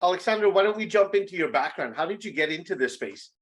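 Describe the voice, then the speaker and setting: A man hosts a business interview over a video call, speaking from an indoor room. His voice sits a little higher than usual for a man, and he asks his questions in a smooth, polite way. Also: animated, fast. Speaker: interview host.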